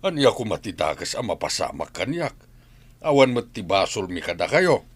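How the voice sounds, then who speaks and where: A man's voice: fairly low pitch, very animated, normal speed, slightly rough, no echo. A man with an Ilocano accent playing a stubborn, wary village husband and father in an audio drama. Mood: defiant. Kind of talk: scripted audio drama acting.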